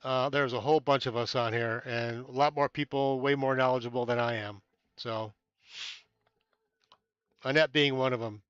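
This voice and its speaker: A man's voice: mid-range voice, steady tone, normal speed, pauses often, a bit bright, smooth, no room sound, lo-fi sound. A man hosting a casual amateur astronomy live stream from inside a small tent, chatting with viewers, thanking them by name, rambling with many fillers, and a little stuffy-nosed.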